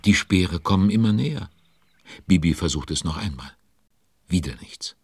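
Avuncular storyteller, extremely low voice, husky, exclaiming dramatic narration for children. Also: male voice, lively intonation, moderate pace, dry recording, heavily acted. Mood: concerned. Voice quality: very deep, husky. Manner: addresses young listeners with exclamations and rhetorical questions.

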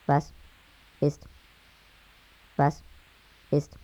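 A performer voicing a computer adventure game that recites commands haltingly, one word at a time. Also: background noise. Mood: mechanical. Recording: muffled, under loud synthesizer music. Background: loud synthesizer music.

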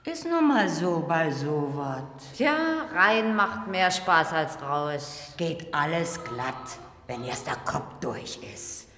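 Radio play role: coarse, matter-of-fact female brigade worker, lowish and very animated, in a hollow noisy space. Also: takes it slowly, hardly any pauses, heavily acted. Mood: blunt, knowing. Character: blunt, earthy working woman from a construction brigade. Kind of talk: 1980s radio play dialogue. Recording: echoey reverberant room, clear noise.

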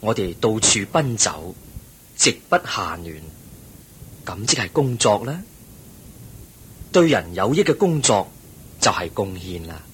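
An actor with a Hong Kong Cantonese accent playing the Buddha in a radio drama, a calm, wise teacher who explains things patiently and in measured phrases. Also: male voice. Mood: patient teaching. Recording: noisy recording with low rumble.

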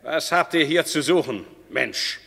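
A man's voice: on the high side, lively intonation, unhurried, gravelly, sharp tone, big-room sound, exaggerated voice. An actor playing a rude male servant who brusquely turns a stranger away from the house. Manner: brusque, scornful.

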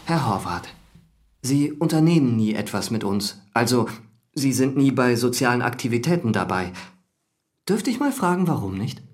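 An actor plays a sociable office colleague in a radio drama. The male voice has a medium pitch and a lively, swinging melody. He sounds polite and conciliatory, a little flustered and keen to include others. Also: normal speed, lightly acted. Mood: hesitant, polite. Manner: conciliatory, hesitant, eager to include. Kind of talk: German radio drama dialogue.

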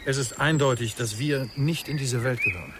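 Man as a troubled stranger, mid-pitched voice. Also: moderate pace, background noise, natural voice. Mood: troubled, resigned. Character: troubled male castaway from another world. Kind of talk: radio drama acting.

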